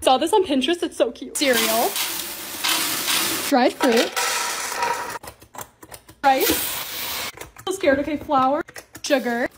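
A young woman with a bubbly, gushing way of talking and a slightly raspy voice, narrating her lifestyle vlog. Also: high-pitched, lively intonation, takes it slowly, pauses often, very noisy. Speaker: lifestyle vlogger. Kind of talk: casual vlog voice-over.

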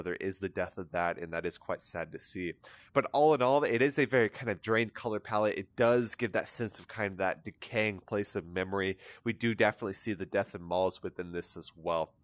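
Low-voiced man talking through art, dry sound. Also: no room sound. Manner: rambling, many fillers like "kind of" and "you know". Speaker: art analysis host. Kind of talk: casual spoken art commentary. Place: voice-over on a screen recording of an image viewer.

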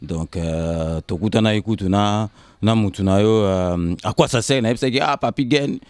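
A man in his fifties from Kinshasa with a Congolese accent, answering casually in short, halting, repeated phrases. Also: lowish voice, darkish tone, clean tone, no echo. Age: 50s. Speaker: interview guest. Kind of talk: casual interview storytelling, switching between Lingala and French. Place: indoors on a sofa. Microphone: handheld microphone held by interviewer.

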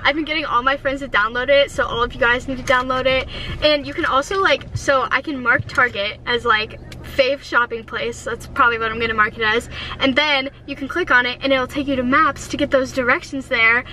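Bubbly high-voiced young woman vlogging. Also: fairly even intonation, fairly quick, pushed and bright, slight background noise, rough recording. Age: young adult. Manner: bubbly, giggly, rambling. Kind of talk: casual chat. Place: inside a parked car, then a big store. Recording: music playing in the car.

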